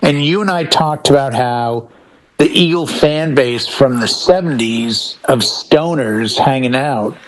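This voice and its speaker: A man's voice: mid-range voice, measured pace, a little hiss or hum, rough recording. A middle-aged American man in a casual panel chat, telling stories as a DJ and acting out bits of dialogue. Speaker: DJ and podcast panelist.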